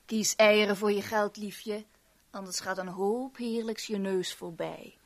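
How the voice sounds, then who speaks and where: A woman's voice: medium pitch, steady tone, unhurried. An actress playing a teasing, seductive henchwoman in a 1970s radio drama, cooing endearments with mock tenderness. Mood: seductive menace.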